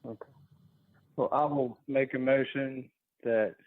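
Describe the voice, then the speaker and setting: A man's voice: medium pitch, steady tone, measured pace, no room sound. A man serving as a commissioner, speaking formally in a meeting. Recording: dull, thin phone-like call audio.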